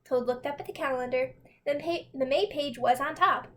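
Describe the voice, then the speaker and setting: A woman reads a children's story aloud. Her voice is high, and she delivers the narration as a scripted storybook reading. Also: moderate pace. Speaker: storybook reader.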